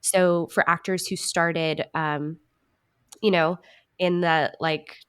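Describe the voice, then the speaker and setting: A woman's voice: lowish voice, flat delivery, slow pace, clean tone. A woman speaking as an interview guest, reflective and casual, with an earnest, self-assured manner. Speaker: podcast guest, actor and writer.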